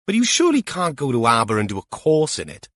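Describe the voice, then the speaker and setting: A man's voice: mid-range voice, huge pitch swings, fast, fairly bright tone, no room sound, studio quality, voice pushed up. A man voicing a junior police detective, curious and eager, with a blunt, colloquial manner, in an audiobook.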